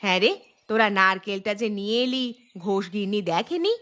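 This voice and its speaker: A woman's voice: mid-range voice, animated, fairly quick, a bit bright, natural voice. A woman voicing a poor, harried village mother in a cartoon, nagging and complaining as she talks. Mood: weary, coaxing. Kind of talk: cartoon story dialogue. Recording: music behind the voice.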